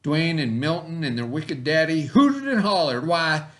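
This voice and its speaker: A man's voice: very animated, moderate pace. Man reading a picture book aloud to kids. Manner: folksy storybook reading, high voices for characters. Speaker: teacher.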